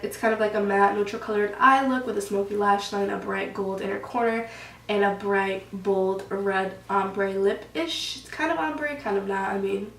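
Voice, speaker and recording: A young woman with a cold, sniffling as she chats loosely through a casual makeup tutorial. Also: mid-range voice. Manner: chatty, loose, many "like" fillers. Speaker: beauty vlogger. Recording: roomy echo, distant mic, faint hiss.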